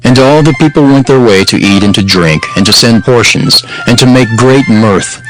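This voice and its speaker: A man narrating a dramatized scripture reading in a solemn, measured and formal style. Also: mid-range voice, soft background music, low-quality recording.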